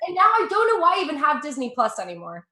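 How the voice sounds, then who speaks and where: A woman's voice: high-pitched, wildly swooping pitch, racing, sharp tone, reverberant. A young woman from Russia with an American accent doing a chatty, profane stand-up set, laughing at her own jokes. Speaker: stand-up comedian.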